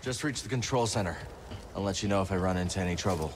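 A man's voice: deep voice, fast, noisy background, lightly acted. A man playing a weary, driven young Jedi fugitive in a cinematic video game. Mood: focused.

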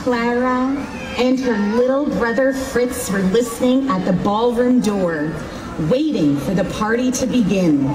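Slow-reading woman in an echoey square. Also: a little high, far from the mic. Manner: unhurried, expressive reading. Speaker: storyteller. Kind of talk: reading a storybook aloud. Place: outdoor town square at night. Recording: echoey open air from afar with crowd.

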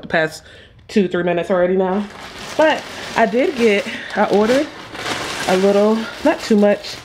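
A woman's voice: mid-range voice, darkish tone, a little hiss or hum. A young woman vlogging at home, rambling chattily to her viewers while fighting a cough, filmed on a phone held at arm's length. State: coughing with a sore throat. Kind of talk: casual haul vlog. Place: small room at home, indoors. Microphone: handheld phone camera at arm's length.

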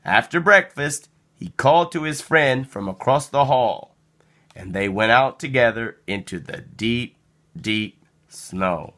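A person reading a children's picture book aloud in an expressive, dramatic storytelling manner. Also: mid-range voice. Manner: animated, slow, dramatic delivery with acted sound words. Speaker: storybook reader.

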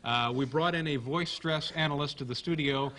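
A man's voice: a little high, moderate pace, bright, forceful tone, a little hiss or hum. A man in his thirties hosting a late-night comedy show, joking in a dry, mock-serious way at his desk in a TV studio. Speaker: talk show host. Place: TV studio stage before an audience. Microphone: desk microphone.

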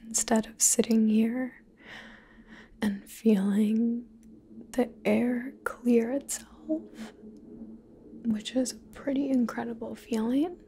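A young woman whispering softly and intimately in an ASMR roleplay, close to the mic, with deliberate pauses. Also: fairly high pitch, steady tone, slight background noise. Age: young adult. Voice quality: breathy whisper. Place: small living room, indoors.

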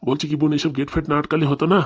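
A man's voice: a little high, level tone, rapid-fire, slightly rough. A man voicing a fretful village elder brother in a comic cartoon, fussing and grumbling as he hurries everyone along. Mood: worried, impatient. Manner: fussy, grumbling, hurrying.

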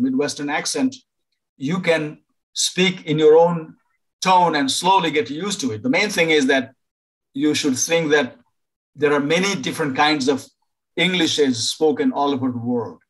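An older man from Nepal, a professor advising international students over a video call, pausing often to find words. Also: on the high side, poor audio. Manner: halting, many fillers and restarts. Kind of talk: informal online presentation.

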